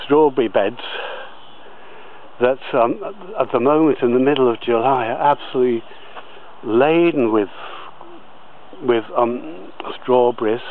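A man talks his way slowly around his allotment, pausing and restarting often as he points things out. The sound is thin and tinny, like a phone line. Faint background noise hangs under his words. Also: medium pitch. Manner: slow, halting, many fillers and restarts. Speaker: allotment gardener. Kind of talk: walking tour of his garden plot. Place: outdoors on a garden allotment.

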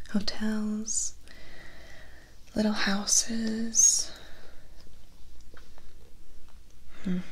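A young woman in her twenties playing a tired, stressed vampire's personal assistant in soft, breathy, close-up ASMR roleplay, murmuring with dry resignation. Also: mid-range voice, poor audio. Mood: tired, resigned. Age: 20s. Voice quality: breathy, near-whispered soft speech. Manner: slow, hesitant, weary murmuring. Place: small indoor studio with dark backdrop.

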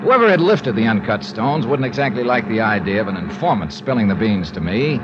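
A man's voice: fairly low pitch, big pitch swings, a little fast, background noise. Old time radio private eye, wry and clipped, lots of swing in his voice, dull old 50s recording. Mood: dry, narrating. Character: wry, confident male freelance insurance investigator. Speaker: lead actor and narrator. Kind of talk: 1950s radio detective acting with first-person narration. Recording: muffled vintage 1950s radio recording, organ music.

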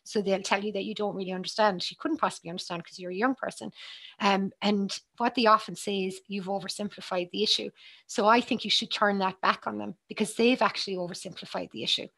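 A woman's voice: mid-range voice, steady tone, quick pace, creaky, a bit bright. A woman, a psychologist speaking earnestly on a panel over a video call, with many ums and restarts. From Ireland. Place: small room with bookshelves, indoors. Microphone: headset on a video call.

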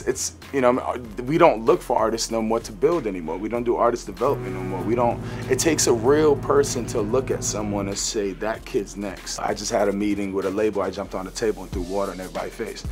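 A young man talking casually in an interview, laid-back, swearing freely and filling gaps with "uh" and "you know". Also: on the low side. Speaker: rapper guest. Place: studio, green-screen set.

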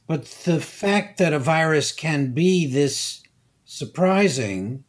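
Older male expert guest, very slow and deliberate delivery. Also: a little high, lively intonation. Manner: measured, halting, many fillers. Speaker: guest scientist. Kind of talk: explanatory interview answers.

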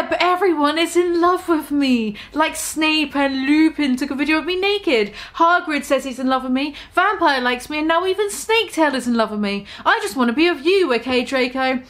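A woman's voice: high-pitched, moderate pace, fairly bright tone. A woman voicing a whiny, overwrought teenage goth girl in a mock-dramatic story reading. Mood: anguished. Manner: overwrought, theatrical.

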